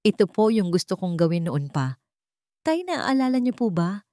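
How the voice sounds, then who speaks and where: A woman's voice: mid-range voice, sing-song, normal speed, dark tone, no echo, studio quality, pitched above the performer's own voice, close to an everyday voice. A woman voicing a repentant grown son in an audio drama, pleading humbly and respectfully in his letter. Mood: pleading, hopeful.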